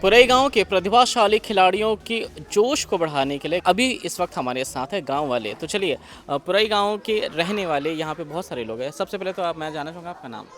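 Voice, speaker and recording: Higher-pitched male field reporter. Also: lively intonation, a little fast, few pauses, fairly bright tone. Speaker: reporter interviewing villagers. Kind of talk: on-location news interview. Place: on location in a village.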